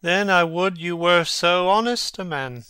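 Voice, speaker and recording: A man's voice: high-pitched, a little slow, pushed and bright, clean tone, no echo. A man playing a mocking, brooding prince who feigns madness, theatrical and sardonic in a Shakespearean dramatic reading.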